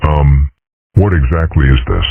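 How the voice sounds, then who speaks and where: A man's voice: very deep voice, expressive, a little slow, creaky, no echo, full character voice. A man voicing a mild, bemused dragon father running for office in a comic dub. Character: older male dragon political candidate, mild and bemused. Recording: tinny, phone-like, dry.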